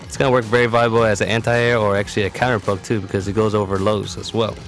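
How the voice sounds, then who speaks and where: Low-voiced man giving game tutorial over music. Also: little pitch movement, fast. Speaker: competitive gamer presenting a character guide. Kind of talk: explanatory video game tutorial commentary.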